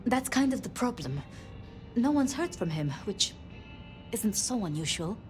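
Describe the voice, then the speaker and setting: An actress voicing a gentle, anxious, idealistic female vampire in a video game, with a hesitant, earnest manner. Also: medium pitch, big pitch swings, a little slow, faint music behind. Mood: worried. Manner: hesitant, earnest, flustered, polite.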